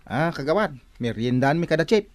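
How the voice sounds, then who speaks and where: An excitable village customer in a radio comedy, played by an actor, speaks with a Northern Philippine accent. The mid-pitched voice swings widely up and down in animated protest. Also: normal speed, lightly acted. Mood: casual. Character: excitable village customer who checks every sum. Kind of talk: comedic Ilocano radio drama acting.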